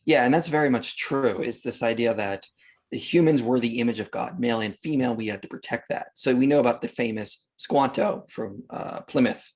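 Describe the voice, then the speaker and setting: A man's voice: medium pitch, a little fast. A man speaking as a podcast guest, explaining history in an emphatic, conversational way and acting out imagined quotes. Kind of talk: animated explanatory discussion of history.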